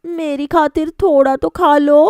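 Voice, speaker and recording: A woman's voice: sits high, steady tone, a little slow, darkish tone, no room sound, studio quality, voice pushed up. A woman voicing an earnest young daughter-in-law in a storybook, sweetly insistent and coaxing as she questions. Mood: pleading.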